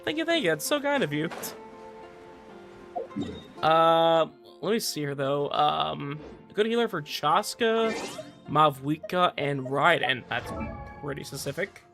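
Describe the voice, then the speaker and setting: A man's voice: fairly high pitch, big pitch swings, unhurried, creaky, a bit bright, soft background music. A man doing casual livestream game commentary, chatty, repeating words and trailing off. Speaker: game streamer.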